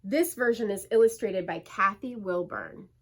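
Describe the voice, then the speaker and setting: A woman reading a rhyming storybook aloud to children in an expressive, lilting way, with theatrical emphasis on the verse. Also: mid-range voice, normal speed, a little hiss or hum.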